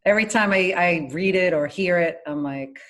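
A woman's voice: on the low side, normal speed, fairly bright tone. A woman taking part in a discussion, speaking informally and candidly about her own thoughts. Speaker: discussion participant. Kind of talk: casual chat.

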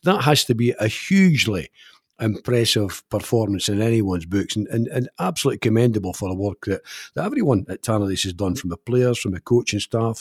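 A man with a husky, lowish voice gives emphatic praise on a football podcast, repeating words as he builds his point. The sound is dry and close. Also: no room sound. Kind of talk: casual football chat.

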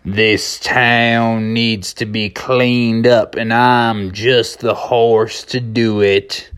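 A man voicing a folksy, self-assured cowboy horse, a tough old-west sheriff type with a slow Southwestern drawl, in a children's story. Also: mid-range voice, little pitch movement, fairly bright tone, clear, resonant tone. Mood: gruff, determined.

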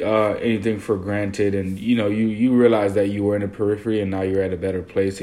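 A man's voice: on the low side, darkish tone. A young man from Haiti co-hosting a discussion, speaking earnestly and measuredly with frequent fillers. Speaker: co-host discussing a designer interview. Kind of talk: casual conversational discussion.

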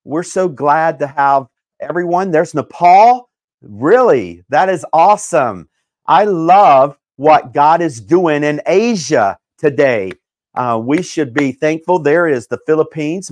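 A male host greeting viewers on a live show, reading out names and places warmly with many fillers. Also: fairly high pitch, dry recording, studio quality. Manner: upbeat, shouting out names with fillers.

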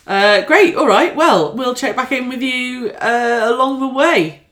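A woman's voice: sits high, unhurried, a bit bright. A woman hosting a casual, chatty podcast segment, presenting an art challenge. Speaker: podcast host.

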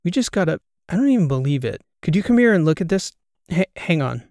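A man voicing an excitable, incredulous male podcast co-host in an audiobook, animated and rambling. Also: fairly high pitch, quick pace, gravelly, dry recording, clean studio sound, voice pushed up. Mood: stunned. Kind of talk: audiobook character dialogue.

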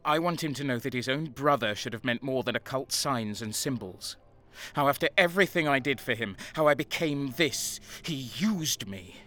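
A man's voice: medium pitch, animated, normal speed, a bit bright, natural voice. A man playing a bitter traitor guardsman who narrates his own story in a grim, hard voice in a fan audio drama. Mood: defiant. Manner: grim inner narration, heated in arguments. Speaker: narrator and lead character.

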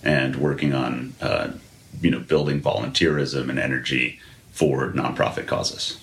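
A man with an extremely low, deep and sonorous voice. He speaks as an interview guest, explaining things in a measured and deliberate way. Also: flat delivery, vocal fry. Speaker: podcast guest. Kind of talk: conversational interview.

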